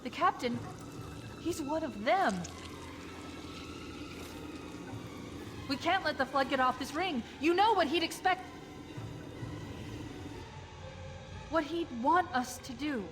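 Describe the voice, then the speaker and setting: A woman playing a brisk, wry female warship AI in a video game, crisp and commanding. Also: high voice, noisy background, lightly acted. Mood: distressed, urgent. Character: female warship AI, brisk, urgent, dryly sarcastic.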